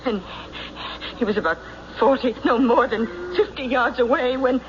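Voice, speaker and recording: A muffled, noisy old radio drama. An actress plays a distraught farm wife with a high voice, halting and breathless as she pleads to be believed. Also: lightly acted. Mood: shaken, bewildered. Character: distraught farm wife insisting her husband vanished.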